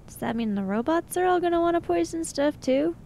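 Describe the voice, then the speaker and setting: A woman's voice: very high-pitched, expressive, brisk, dark tone, a little hiss or hum. A woman improvising in-character talk in a tabletop roleplaying game, with a questioning, skeptical manner.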